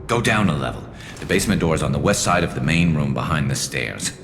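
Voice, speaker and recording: A man voicing a wry, battle-worn alien ex-cop turned vigilante in a video game, with a gravelly, dry, clipped delivery. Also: lively intonation, fast, fairly bright tone, a little hiss or hum, exaggerated voice. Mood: urgent, concerned. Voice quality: gravelly, very deep. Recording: game audio with music behind.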